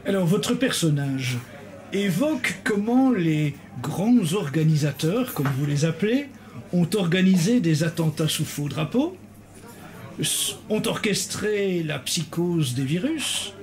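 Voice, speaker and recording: A man interviewing an author, asking long questions in a measured, somewhat formal way. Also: high voice, sing-song, a little mellow.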